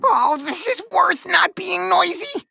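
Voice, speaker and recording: Squeaky, excitable cartoon woodpecker. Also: female voice, slow pace, gravelly, soft background music, heavily acted. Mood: delighted. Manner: exclamatory, eager. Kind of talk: animated children's cartoon voice acting.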